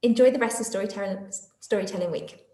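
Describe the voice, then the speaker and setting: A woman's voice: mid-range voice, fast, dark tone. A woman reading stories expressively to young children as a schoolteacher would, addressing them directly. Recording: echoey room.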